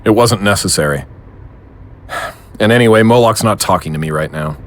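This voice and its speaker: An actor playing a low-key, uneasy male monster-fighting hero in an audio drama. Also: normal speed, close to an everyday voice.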